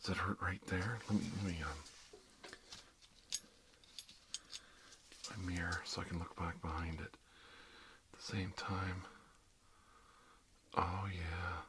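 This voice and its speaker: A man playing a kindly older doctor in an ASMR role-play, speaking softly in an unhurried, hesitant, reassuring murmur. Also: low voice, level tone, background noise. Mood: attentive, murmuring. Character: kindly older male doctor doing emergency dental work.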